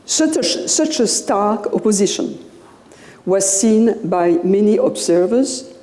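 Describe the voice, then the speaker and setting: A woman in her sixties with a Belgian French accent delivers a lecture at a podium mic. Her delivery is slow and mellow, full of small hesitations. The hall gives her voice a long, roomy reverb. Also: lowish voice. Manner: slow, measured, with frequent "uh" pauses. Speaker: guest lecturer. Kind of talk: public lecture. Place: lecture room. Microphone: podium microphone.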